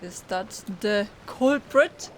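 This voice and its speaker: A woman giving casual commentary as she plays a video game, talking back to it with soft-hearted interjections. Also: medium pitch, lively intonation, slow pace, slight background noise. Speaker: gamer giving playthrough commentary. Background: game music.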